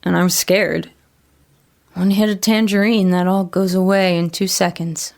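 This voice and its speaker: Troubled, remorseful woman, horror drama confession: plain-spoken, earnest, lower-pitched, gravelly. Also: fairly even intonation, moderate pace. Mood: frightened confession. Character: troubled, remorseful woman struggling with addiction, pleading to be believed. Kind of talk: first-person horror audio drama monologue.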